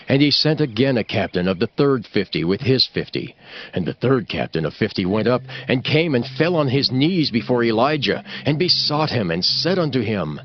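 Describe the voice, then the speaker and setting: A man reading scripted scripture narration for a dramatized audiobook in a solemn, measured way. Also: mid-range voice, a little hiss or hum. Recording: slightly dull audio with music behind. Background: music.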